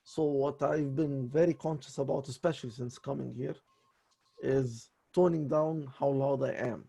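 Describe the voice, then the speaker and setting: A man in a voice lesson with a raspy voice, talking in an apologetic, halting way with many restarts. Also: medium pitch, steady tone, warm tone. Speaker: voice student. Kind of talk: voice lesson conversation.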